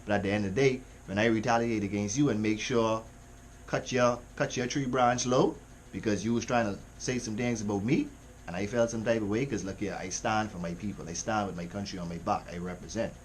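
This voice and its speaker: A man's voice: on the low side, a little fast, a little hiss or hum. A man from the Caribbean with a Caribbean accent, hosting a music reaction in a slangy, rambling, laid-back way. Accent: Caribbean English. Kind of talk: casual reaction commentary.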